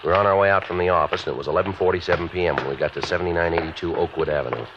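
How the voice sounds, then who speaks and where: Deadpan cop in a fifties radio show, fast and terse, muffled hissy old recording. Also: male voice, low voice. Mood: matter-of-fact. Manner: deadpan, clipped. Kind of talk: 1950s radio police drama.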